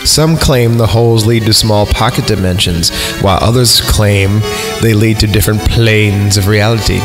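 A man hosting a horror podcast, reading stories aloud in an informal, self-amused way with casual asides. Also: fairly low pitch. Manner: informal, self-amused, breaks off to comment and chuckle. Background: music under the voice.

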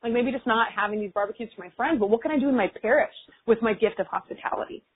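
A woman's voice: fairly high pitch, quick pace, breathy edge. Woman on a tinny phone line. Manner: chatty, frequent "like" fillers. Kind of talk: casual reflective chat.

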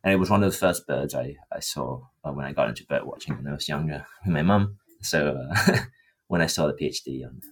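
A man's voice: very deep voice, brisk, slightly rough. A young adult man from Thailand, speaking conversationally as a student researcher in an interview. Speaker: PhD student guest.

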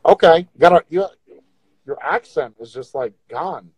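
A man runs a casual livestream, teasing his callers with crude jokes. His voice sits a little high for a man. The sound is dry and close, with no room behind it. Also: expressive, normal speed, husky, dry recording, rough recording. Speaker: livestream host. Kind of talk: casual livestream chat with viewers.

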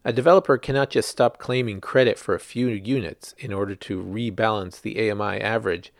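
A man's voice: lowish voice, fairly even intonation, a little fast, vocal fry, dry recording, clean studio sound. A man, a journalist, reading a written news article aloud as scripted narration.

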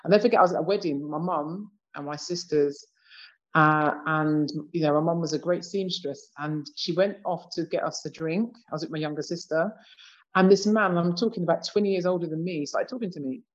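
A woman's voice: deep voice, a little fast, muffled recording. A British woman in her fifties talking reflectively in a casual conversation, with many "you know" asides. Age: 50s. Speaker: discussion participant.

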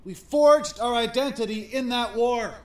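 A man's voice: very high voice, normal speed, distant mic. An actor playing an effusive male event host in an audio drama. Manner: effusive showman.

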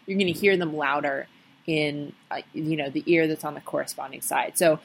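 A woman's voice: low-pitched, fairly even intonation, normal speed, vocal fry. A young adult woman co-hosting a podcast, explaining ideas in casual chat with thoughtful ums and restarts. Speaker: podcast co-host.

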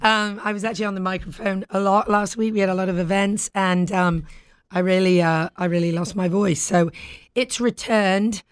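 A British woman hosting a talk show in casual, playful chat, with a husky voice recovering from being lost. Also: medium pitch, steady tone, normal speed, no echo, studio quality. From Britain. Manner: playful, bantering.

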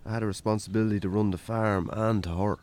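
An actor playing a weary, wry Irish farmer in a naturalistic radio drama. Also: male voice, on the low side, fairly even intonation, fast talker, a little mellow, natural voice. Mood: reflective, subdued.

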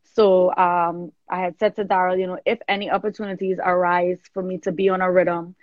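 Expressive woman singer chatting in interview, mostly w a bit of trini, dry slightly muffled audio. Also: on the low side, fairly quick, no room sound. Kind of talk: casual interview chat.

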